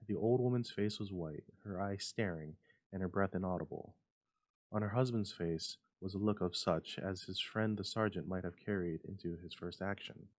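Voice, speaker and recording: A man's voice: sits low, normal speed, no room sound. A man reading a literary story aloud as a dramatic narration. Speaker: story reader.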